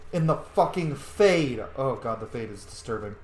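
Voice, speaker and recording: A man's voice: medium pitch, animated, moderate pace, reverberant. A man giving casual, sweary running commentary as he streams a roleplay video game. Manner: informal, sweary.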